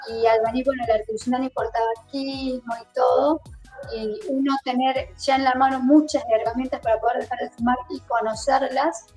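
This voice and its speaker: A woman with a Córdoba Argentine accent answers interview questions in a fairly high voice. She talks hesitantly, with many fillers and repeated words. Faint music plays behind her. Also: steady tone, fairly quick, a little mellow, low-quality recording. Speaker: guest. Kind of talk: online interview.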